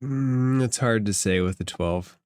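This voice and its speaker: A man's voice: on the low side, a little slow, a little mellow, clean tone, no room sound, studio quality. A man running a tabletop role-playing game as game master, improvising his narration in a conversational way.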